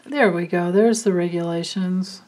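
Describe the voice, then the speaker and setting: A woman doing a card reading, thinking aloud with many pauses and fillers. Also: lowish voice, darkish tone. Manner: slow, musing, thinking aloud. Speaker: card reader. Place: indoors at a table, hands and cards filmed from above.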